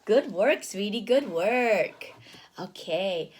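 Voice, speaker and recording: A woman reading a picture book aloud to a young child, animated and gasping, stopping often to ask the child questions. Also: mid-range voice, slow pace.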